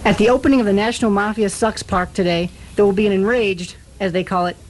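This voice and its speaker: A woman plays a mysterious anonymous informant in a radio comedy. The voice is medium-pitched for a woman, earnest and self-serious, as if each tip were grave business. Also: steady tone, fast, darkish tone, a little hiss or hum, lightly acted, poor audio. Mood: secretive, urgent. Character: earnest, secretive anonymous phone tipster. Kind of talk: comedic detective radio drama.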